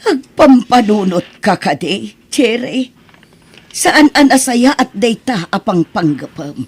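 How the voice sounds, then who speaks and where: A woman playing a worried, pleading mother in a family radio drama, with a husky, lamenting delivery. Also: on the high side, very animated, takes it slowly, heavily acted. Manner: anxious, lamenting.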